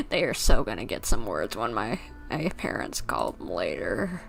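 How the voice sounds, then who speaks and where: A young woman livestreaming a video game, chatting casually in a halting, rambling, informal way. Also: rumbling low, sing-song, gravelly.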